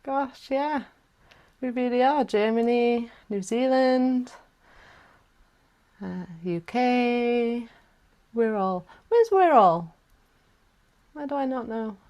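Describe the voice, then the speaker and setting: Slow-spoken South Welsh woman. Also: on the high side, lively intonation, highs cut off. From South Wales. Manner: chatty, warm asides, laughs often. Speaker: chant workshop teacher. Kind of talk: casual live online workshop talk. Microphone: video call.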